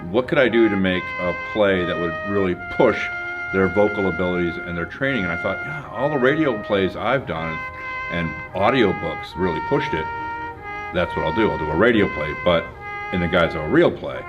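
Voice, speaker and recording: Deep-voiced, chatty male director. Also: animated, moderate pace, highs cut off, over loud music. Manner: chatty, enthusiastic, playful. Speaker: theatre director and professor. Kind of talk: casual behind-the-scenes interview.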